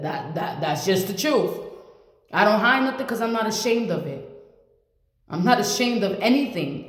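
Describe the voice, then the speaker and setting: A woman in her late twenties giving blunt, repetitive advice to the camera as a vlogger. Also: medium pitch. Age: 20s. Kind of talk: motivational talk to camera. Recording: echoey room with long reverb.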